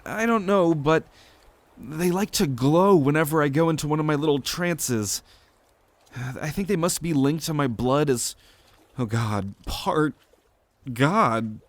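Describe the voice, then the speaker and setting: Man voicing a high, playful young femboy. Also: measured pace, dry recording. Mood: unsure. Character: playful, cheeky young pink-haired demigod femboy. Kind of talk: ASMR roleplay audio drama.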